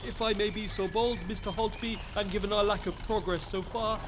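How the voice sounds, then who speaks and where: A man plays a genteel parson with a high voice, timid and easily flustered. He speaks politely and pleadingly, in period audio drama style, over clear noise of an outdoor journey. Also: steady tone, brisk, lightly acted. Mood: politely hesitant. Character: timid, fretful, polite parson travelling by coach.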